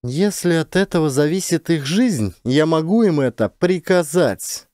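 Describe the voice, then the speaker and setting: Man voicing a tense female commander, pitched up. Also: lively intonation, a little slow, a little mellow, no room sound, clean studio sound. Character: tense, resolute female space-colony commander. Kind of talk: dramatized science fiction audiobook.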